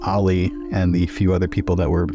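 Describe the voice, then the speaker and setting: A man's voice: deep voice, level tone, brisk, music in the background. A man playing a tabletop game, casually improvising and describing what his character does in the third person.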